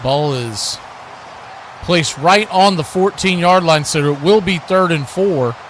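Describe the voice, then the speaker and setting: A man's voice: a little high, slow pace, a bit bright. A man doing live radio play-by-play commentary of a football game as the announcer. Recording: clearly noisy live broadcast.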